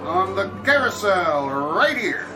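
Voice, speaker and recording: High-voiced, bossy carnival ride attendant. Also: male voice, big pitch swings, slow, exaggerated voice. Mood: brusque, scolding. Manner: barking, folksy. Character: brusque, bossy carnival carousel attendant calling out. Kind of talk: radio drama acting. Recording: radio drama with clear music behind.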